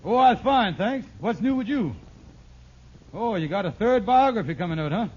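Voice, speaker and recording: A man's voice: high-pitched, lively intonation, normal speed, a little mellow, slightly rough. An actor playing a scheming, blustering husband and con man with poor grammar in an old radio sitcom. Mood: blustering, slick. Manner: blustering, hesitant, ungrammatical. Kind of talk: mid-century radio sitcom acting. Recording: muffled, noisy vintage radio recording.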